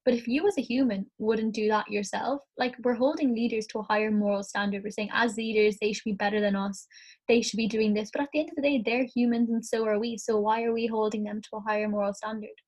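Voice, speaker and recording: A young Irish woman, a student, talking through ideas in a casual class discussion, her voice a little croaky from the morning. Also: fairly high pitch, fairly even intonation, fast talker, a little mellow. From Ireland. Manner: chatty, thinks aloud, frequent "like".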